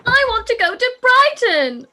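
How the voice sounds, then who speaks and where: A woman voicing a whiny, excitable teenage girl in a live-read radio play, pleading and whining. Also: very high voice, unhurried, exaggerated voice. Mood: excited.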